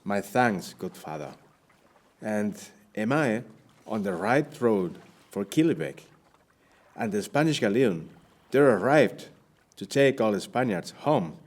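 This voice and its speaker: A man's voice: fairly low pitch, very animated, takes it slowly, long pauses, a little mellow, heavily acted. A man playing a weary, plaintive shipwrecked Spanish sea captain in an audio play, speaking in formal, archaic phrases. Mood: weary, pleading. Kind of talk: audio play acting, first-person account.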